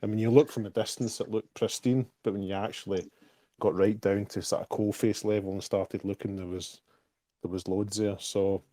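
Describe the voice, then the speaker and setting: A man's voice: lowish voice, flat delivery, moderate pace, warm tone, no echo. A man with a Scottish accent answering questions on a casual group call, speaking frankly and repeating words as he thinks. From Scotland. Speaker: project co-founder answering questions.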